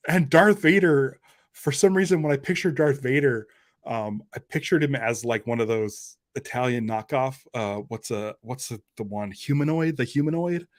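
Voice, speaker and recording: A man with a medium-pitched voice chats on a podcast panel. His delivery is conversational and informal, like an everyday discussion of pop culture. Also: moderate pace.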